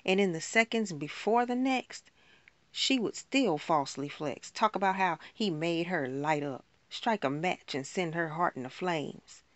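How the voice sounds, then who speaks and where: A woman performing a spoken word poem in a rhythmic, rhyming delivery with dramatic pauses. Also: on the low side, no echo.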